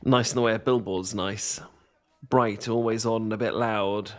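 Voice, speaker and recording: A man's voice: on the low side, steady tone, measured pace, lightly acted. A young British man playing a shy, self-deprecating student in an audio roleplay, hesitant and stammering as he talks to his best mate. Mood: wry, rambling. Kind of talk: ASMR boyfriend-style audio roleplay, casual monologue.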